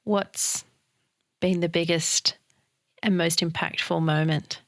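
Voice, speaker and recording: A woman's voice: fairly low pitch, fairly even intonation, takes it slowly, no room sound, studio quality. A woman hosting an interview podcast who asks short questions and gives brief, encouraging replies.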